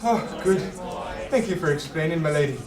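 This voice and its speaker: Casting: man as jolly fantasy innkeeper, very high male voice, eager to please, recorded slightly off-mic. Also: lively intonation, moderate pace, distant mic, crowd noise, natural voice. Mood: obliging. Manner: folksy, effusive, slightly flustered. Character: jovial short round innkeeper, eager to please. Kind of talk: fantasy audio drama acting. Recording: roomy echo, off the mic.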